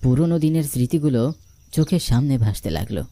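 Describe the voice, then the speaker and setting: A man narrating a scripted story in the first person, expressive and dramatic. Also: medium pitch, a little fast. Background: music.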